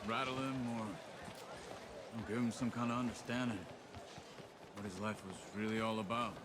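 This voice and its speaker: An actor playing a cautious, watchful former outlaw in a video game, measured and serious. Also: male voice, on the low side, steady tone, background noise, close to an everyday voice. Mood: reflective. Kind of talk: dramatic video game dialogue.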